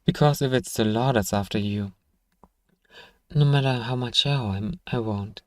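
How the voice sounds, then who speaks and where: A man playing a gruff, sardonic but grudgingly kind apothecary shopkeeper in an ASMR fantasy roleplay, dryly teasing and sighing as he talks to one listener. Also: mid-range voice, normal speed, darkish tone, dry recording, natural voice. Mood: suspicious.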